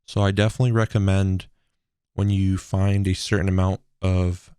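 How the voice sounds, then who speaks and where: A man explaining software as a tutorial host in a measured, instructional way. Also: sits low, little pitch movement, clean tone, no room sound, studio quality.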